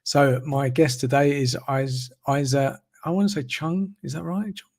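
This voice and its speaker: A man's voice: medium pitch, steady tone, normal speed, a little mellow. A man hosting a live online interview, rambling and repeating words as he talks. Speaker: host.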